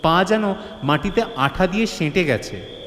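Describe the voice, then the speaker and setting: Animated male storyteller with long reverb. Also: sits high, unhurried. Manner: theatrical, suspenseful. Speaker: narrator. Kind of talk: dramatic scripted storytelling, horror-comedy. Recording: reverberant, faint ambient noise.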